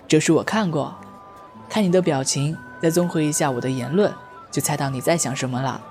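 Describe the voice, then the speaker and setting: A woman's voice: sits low, brisk, a little mellow, soft background music. A woman playing a blunt, eccentric, self-assured teenage schoolboy artist in an amateur audio drama, speaking in a bold, matter-of-fact way. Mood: self-assured, insistent.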